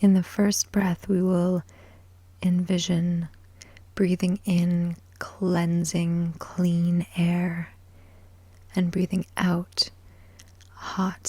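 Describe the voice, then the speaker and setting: A woman guiding a sleep hypnosis in ASMR style, soft, breathy and whispery, soothing and measured, with long pauses between short phrases. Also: on the low side, no room sound. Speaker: hypnosis and meditation guide.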